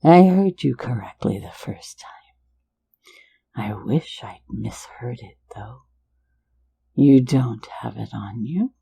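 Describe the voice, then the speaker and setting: A woman voicing a teasing, flirtatious phantom thief in an ASMR roleplay, in a soft, intimate whisper right at the mic. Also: extremely low pitch, expressive, slow pace, gravelly, long pauses, slightly rough, full character voice. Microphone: close mic for ASMR.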